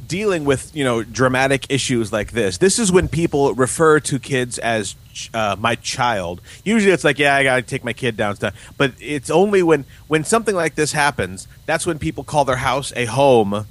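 Male podcast host, very lively swinging pitch, mid-range voice, wry news banter. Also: normal speed. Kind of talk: casual comedic news chat.

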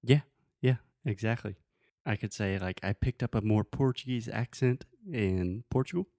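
A man's voice: fairly low pitch, moderate pace, a little mellow, dry recording, studio quality. A man who is a native English speaker, teaching in a casual conversational way with everyday examples and checking that the listener follows. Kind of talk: casual conversational language lesson.

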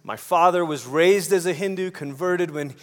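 A man's voice: on the high side, moderate pace. A man from Malaysia preaching a sermon in a conversational way, posing rhetorical questions and repeating phrases for emphasis. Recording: long hall reverb.

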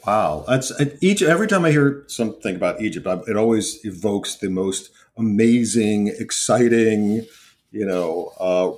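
A man's voice: fairly low pitch, a little mellow. A man hosting a podcast in a conversational style. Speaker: podcast host.

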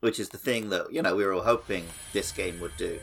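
A man with a fairly low voice co-hosts a gaming podcast. His talk is casual and conversational. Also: brisk, creaky, over music. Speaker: podcast co-host. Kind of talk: casual chat about a video game.

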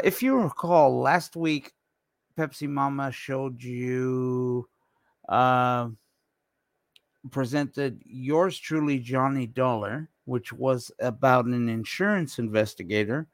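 A man hosting a radio show with casual, unscripted commentary, halting and often trailing off. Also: medium pitch, no room sound.

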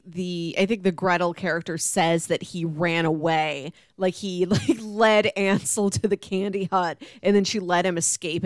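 A woman's voice: on the low side, dry recording, clean studio sound. A woman hosting a podcast, chatting casually about a book and acting out bits as she retells it. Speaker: podcast host.

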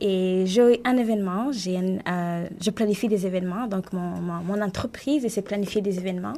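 A woman's voice: medium pitch, fairly quick, dark tone. A woman in her twenties from Rwanda, introducing herself conversationally as a studio panel guest. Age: 20s. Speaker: panel guest, writer and event planner.

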